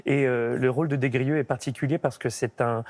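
A man's voice: mid-range voice, fairly even intonation, fairly quick, darkish tone. A man in his thirties, an opera tenor, answering questions reflectively in a TV studio interview, often restarting his sentences. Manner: thoughtful, reflective, with frequent restarts. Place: TV studio set. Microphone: broadcast mic, medium shot.

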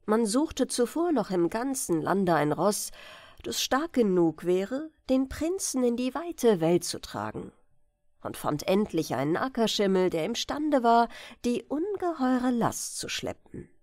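A woman narrating a fairy-tale audiobook for bedtime with expressive, deliberate phrasing. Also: on the high side, no room sound, studio quality.

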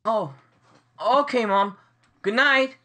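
Slow, hesitant teenage boy played by a man. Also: extremely high pitch, pushed and bright, heavily acted. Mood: sheepish. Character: shy, hesitant teenage son in radio sitcom. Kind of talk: 1950s radio sitcom acting.

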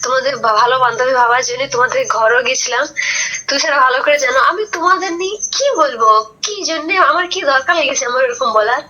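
A woman's voice: high-pitched, fast talker, bright, forceful tone. A young woman, protesting defensively on a phone call and repeating herself as she stumbles over words. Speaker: prank call recipient.

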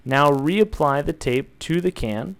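A young man calmly walking viewers through a build, step by step, in an instructional voice-over. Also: fairly high pitch, slow, clear, resonant tone. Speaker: DIY tutorial maker.

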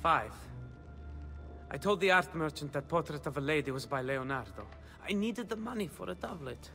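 Young Renaissance rogue, high-pitched and cocky, flippant delivery, video game drama. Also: male voice, expressive, moderate pace, gravelly, bright, forceful tone, soft background music, full character voice. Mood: subdued. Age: young adult. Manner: cheeky, flippant, theatrical.

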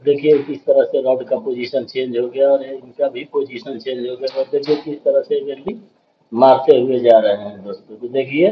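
A man's voice: medium pitch, fairly even intonation, normal speed, highs cut off, a little hiss or hum. A man hosting a welding channel, explaining a pipe weld step by step and often calling viewers "friends". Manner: repeats "dekhiye" and "doston" often, explanatory. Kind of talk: explaining welding over filmed work.

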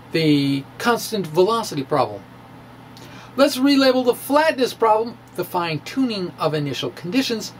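A middle-aged man giving a dramatic reading of a science blog, halting and theatrical with wry asides. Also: high voice, a little hiss or hum. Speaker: amateur physics video blogger. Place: small indoor room with bookshelves. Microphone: handheld-style microphone on a stand, close in front.

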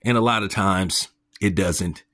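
A man's voice: measured pace, a bit bright. A man hosting a commentary channel, ranting in a blunt, mocking and crude way, his voice jumping up when he is incredulous. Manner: pitch jumps up when incredulous.

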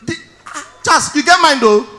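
A man in his thirties with a Nigerian accent, performing stand-up on stage with a handheld mic, telling stories loudly and shouting his punchlines. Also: extremely high pitch, lively intonation, brisk. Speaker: stand-up comedian. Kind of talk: stand-up comedy in Pidgin. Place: large indoor event hall on stage.

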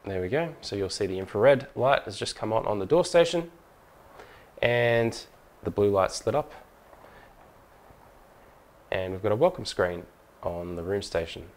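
A man's voice: fairly low pitch, animated, a little slow, pauses often, a little mellow. A man presenting a hands-on product tutorial in an informal, methodical, step-by-step way.

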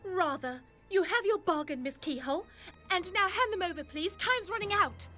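A woman's voice: extremely high pitch, lively intonation, moderate pace, bright, forceful tone, soft background music, exaggerated voice. An actress playing a brainy, plucky schoolgirl heroine in a cartoon, prim, precise and politely bookish. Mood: prim, businesslike. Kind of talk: cartoon acting.

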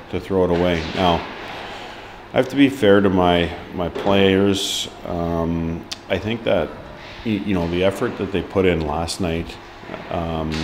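Deep-voiced man, halting hockey coach. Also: background noise. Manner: halting, frequent ums and restarts, frank. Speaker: ice hockey head coach. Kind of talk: post-match press interview. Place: indoor press room before a sponsor board.

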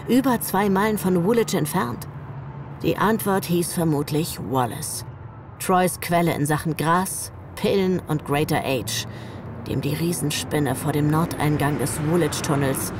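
A woman's voice: low voice, measured pace, noisy background. An actress playing a sullen, hard-bitten young woman, narrating an audio drama in a terse, sardonic manner. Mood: sardonic, irritated.

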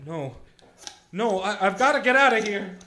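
A man's voice: sits high, animated, moderate pace, bright, forceful tone. A man playing a desperate, stammering family man in an amateur radio play, pleading.

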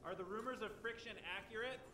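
A man's voice: high voice, fairly even intonation, quick pace, noisy background, lightly acted. An actor playing a probing, direct male sports reporter, asking scripted press conference questions in a video game. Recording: roomy echo, clear background ambience.

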